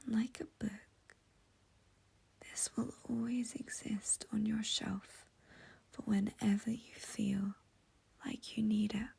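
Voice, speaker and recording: A woman leading a guided meditation in a soft, breathy near-whisper, with a soothing, gentle, intimate manner. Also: mid-range voice, fairly even intonation, takes it slowly, long pauses.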